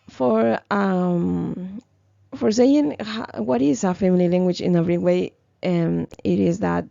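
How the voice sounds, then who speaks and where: Sick young woman, gravelly and slow. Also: medium pitch, warm tone, dry recording, muffled recording. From Ecuador. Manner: hesitant, many fillers and restarts. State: sick, slightly hoarse. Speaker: student presenter. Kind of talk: class presentation, read from notes.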